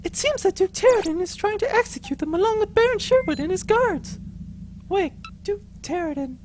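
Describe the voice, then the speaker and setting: Woman playing a very high-pitched starship officer. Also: lively intonation, moderate pace, a little mellow, slight background noise. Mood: eager. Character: eager, chirpy young female starship officer. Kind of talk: comic sci-fi audio drama acting.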